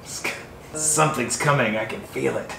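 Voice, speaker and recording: A man's voice: medium pitch, moderate pace, gravelly, sharp tone, a little hiss or hum. A man hosting a homebrew show, talking casually with a playful, dry sense of humor. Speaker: host, home brewer. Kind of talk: casual scripted narration and relaxed tasting chat.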